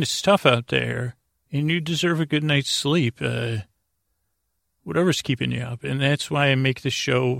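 A man hosting a bedtime podcast in a gravelly voice, rambling haltingly and repeating words as he drifts into goofy, gently silly tangents. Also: medium pitch, dry recording, studio quality. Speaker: host of a bedtime sleep podcast. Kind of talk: rambling, meandering bedtime monologue.

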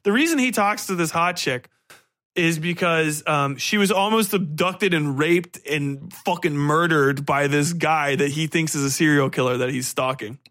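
A man's voice: on the high side, normal speed, a bit bright, dry recording, studio quality. A man hosting a book podcast, rambling through a casual review in blunt, irreverent, profanity-heavy slang. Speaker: podcast host reviewing books.

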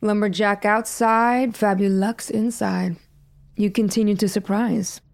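A woman's voice: medium pitch, steady tone, unhurried, gravelly. A woman playing a tough, suspicious woman on the run in a thriller audio drama, blunt and sarcastic. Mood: sardonic.

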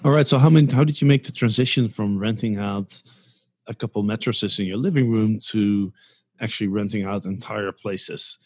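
A man hosting a casual interview podcast, who works through figures out loud and restarts his phrases. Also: fairly low pitch, moderate pace, slightly rough. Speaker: podcast host.

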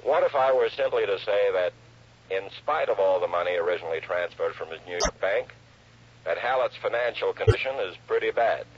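An actor playing a staid, guarded but friendly banker with formal manners in an old-time radio drama. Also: male voice, low-pitched, expressive, brisk, breathy edge. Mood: hinting, cautious. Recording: dull old radio recording.

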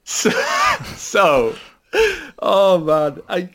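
A man's voice: high voice, huge pitch swings, very slow, fairly bright tone, a little hiss or hum. A Welsh man, a podcast co-host, chatting casually about football and rambling with many restarts. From Wales. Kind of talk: casual chat.

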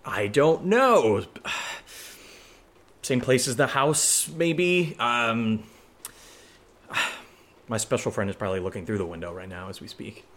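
Nervous young guy, mid-pitched, halting and wry, naturalistic horror audio drama. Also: expressive, a bit bright. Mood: flustered, sarcastic. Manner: halting, rambling, sardonic asides. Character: nervous, sarcastic, rambling young man stuck in an attic.

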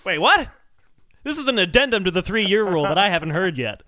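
A man co-hosting a comedic podcast, bantering in an animated, mock-argumentative way. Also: high-pitched, fairly quick, no room sound, clean studio sound.

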